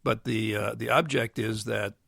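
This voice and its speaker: An older man with a bass voice, explaining as an interview guest in a halting way with many fillers and restarts. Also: steady tone, a bit bright, no echo, clean studio sound. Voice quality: gravelly bass voice. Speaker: guest, nuclear engineer. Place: dry podcast studio. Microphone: studio mic.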